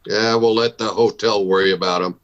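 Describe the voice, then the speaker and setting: An actor playing a steady, matter-of-fact Old West lawman in a Western radio drama. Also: lowish voice, a little fast, background noise, close to an everyday voice. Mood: businesslike. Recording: dull band-limited audio.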